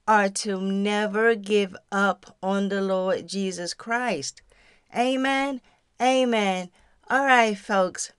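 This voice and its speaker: A woman hosts a faith podcast with an earnest, exhorting delivery. She takes her time over each phrase, at a medium pitch, speaking straight to her listeners as a devotional teacher would. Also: smooth, dry recording, clean studio sound. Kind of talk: devotional talk and prayer.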